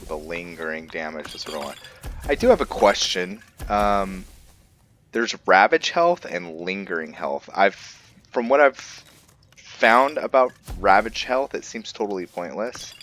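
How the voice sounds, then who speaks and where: Deep-voiced, slow-paced man thinking aloud. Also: big pitch swings, a bit bright, faint music behind. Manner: thinking aloud, musing to himself. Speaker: gameplay video host. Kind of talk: casual gameplay commentary.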